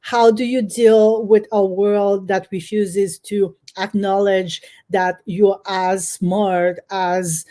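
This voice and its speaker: A middle-aged woman from Haiti, an author answering interview questions earnestly and thoughtfully, pausing often with ums and restarts. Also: medium pitch, flat delivery, dark tone. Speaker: author guest.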